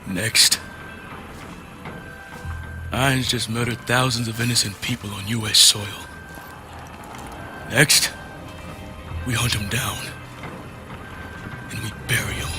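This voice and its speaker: An actor playing a determined military squad commander who speaks in clipped, commanding phrases. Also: male voice, lowish voice, steady tone, takes it slowly, pauses often. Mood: grimly vengeful. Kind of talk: military game dialogue. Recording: loud music underneath.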